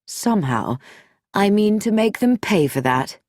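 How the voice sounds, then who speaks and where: A grim young man who speaks firmly, with quiet, set anger, read by a woman in a close storybook reading. The delivery is slow and deliberate. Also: mid-range voice, animated, no echo, natural voice. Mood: grim, resolute. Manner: firm, quietly angry.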